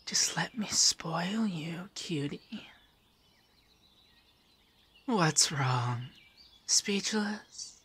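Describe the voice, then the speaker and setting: A man playing a doting, teasing feminine male monster companion in a softspoken, often whispered ASMR roleplay. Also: on the high side, lively intonation, extremely slow, long pauses, full character voice. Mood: tender, coaxing. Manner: breathy, often dropping to a whisper.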